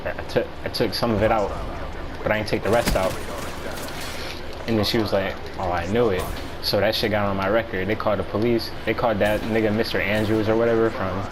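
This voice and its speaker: Low-voiced American man, slangy and offhand. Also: fairly even intonation, brisk. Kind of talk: casual storytelling chat during gaming. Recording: noisy game audio with gunfire. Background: video game gunfire and vehicle sounds.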